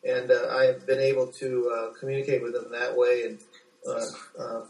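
A middle-aged American man answering interview questions as a doctor, speaking haltingly with repeated words. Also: medium pitch, level tone, darkish tone. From United States. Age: 40s. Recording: echoey room, distant from microphone.

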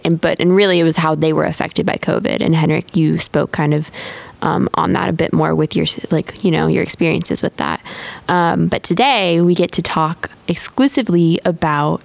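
A woman's voice: lowish voice, creaky. A young woman hosting a campus podcast, chatting in a loose, encouraging way with many fillers. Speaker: podcast host.

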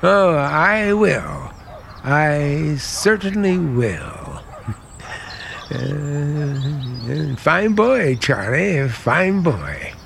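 An actor playing a charming middle-aged American uncle with quiet menace under a genial, deliberate manner, in vintage radio drama style. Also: male voice, on the high side, very animated, vocal fry, slight background noise, exaggerated voice. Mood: falsely jovial.

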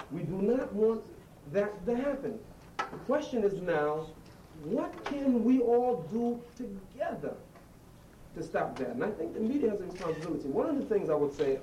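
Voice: mid-range voice, mellow, lo-fi sound. A person making an earnest, emphatic public appeal as a speaker at a press conference. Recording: roomy, distant mic, faint noise.

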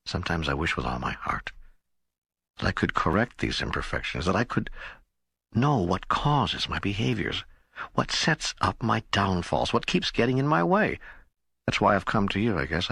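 A man's voice: sits low, lively intonation, moderate pace, creaky, sharp tone, slightly rough, dry recording, clean studio sound, exaggerated voice. A man playing an earnest, doubting seeker in an audiobook dialogue, speaking in a hesitant, searching way. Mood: troubled, confessing. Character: earnest, doubting male seeker questioning God.

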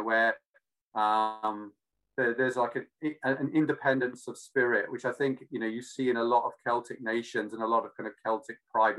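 A man from Cardiff with a British but not Welsh accent, talking casually and reflectively, often pausing to search for words. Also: medium pitch, fairly bright tone, poor audio. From Cardiff, Wales. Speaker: interview guest.

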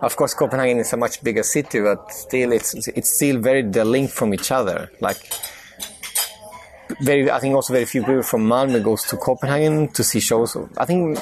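A man from Sweden speaks as an interview guest, at a middle pitch with a gravelly edge. He talks haltingly, often stopping to rephrase. A crowd is audible in the background. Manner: hesitant, restarts often, tag questions. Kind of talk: casual conversation.

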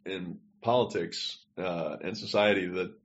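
A man's voice: low voice, unhurried, highs cut off. A man co-hosting a podcast, talking in a digressive, think-aloud way over a remote call. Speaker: podcast co-host. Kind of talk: casual discussion.

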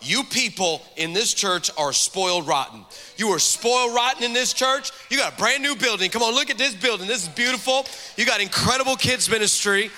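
A man in his forties from the Texas–Mexico border, a guest pastor preaching a lively, joking sermon and calling out to the crowd in a large church hall. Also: sits high, brisk. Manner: energetic, joking, calls out to the crowd. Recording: long hall reverb from a church sanctuary.

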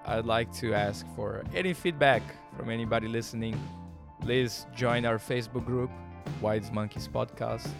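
Brazilian-accented male podcast host, gravelly, inquisitive and informal. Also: fairly low pitch. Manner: curious, halting questions with restarts. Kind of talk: casual interview.

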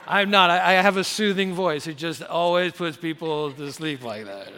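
A man's voice: on the high side, normal speed, a little hiss or hum. A middle-aged man preaching a sermon as pastor, casual and often repeating words at the start of phrases. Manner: loud rallying shouts of "three claps". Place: large church hall. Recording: long hall reverb.

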